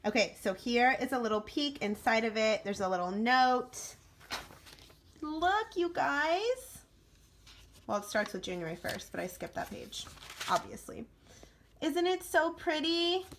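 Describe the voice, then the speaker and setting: A young woman vlogging to her camera. She is losing her voice and sounds raspy, and she gushes with excitement and fills her talk with "like". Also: on the high side, measured pace, a little hiss or hum. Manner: excited, gushing, many "like" fillers. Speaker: vlogger and teacher. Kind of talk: casual talking-to-camera vlog.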